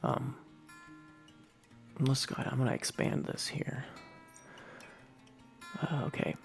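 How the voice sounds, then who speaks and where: A man reading a humor article aloud with hesitant, rambling asides and frequent throat-clearing, switching between recitation and casual commentary. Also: medium pitch, steady tone, slow pace, soft background music.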